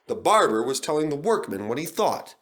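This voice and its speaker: A man's voice: on the high side, sing-song, a little fast, a bit bright. A man narrating a scripted children's story in a dramatic style.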